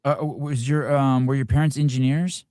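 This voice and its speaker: A man's voice: mid-range voice, level tone, moderate pace, darkish tone, no room sound, studio quality. A middle-aged man hosting a live interview, rambling and self-deprecating with many restarts. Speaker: podcast host.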